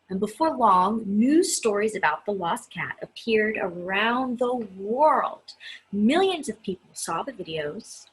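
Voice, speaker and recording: A woman reading a children's story aloud in an expressive, chatty style, stressing key words with dramatic emphasis. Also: mid-range voice, breathy edge, poor audio. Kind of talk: expressive read-aloud with chatty asides to listeners.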